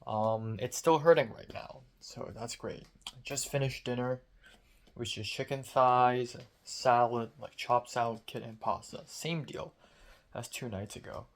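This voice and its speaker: A man's voice: medium pitch, steady tone, measured pace. A young man from Hong Kong speaking in a casual, late-night diary vlog. His throat is sore and strained, and he rants with sarcasm, swearing and self-mockery. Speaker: vlogger.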